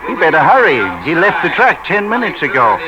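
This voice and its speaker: An actor playing a droll, garrulous detective's sidekick with theatrical delivery in a 1940s radio drama. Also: male voice, a little high, big pitch swings, fairly quick. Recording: dull, noisy 1940s radio recording.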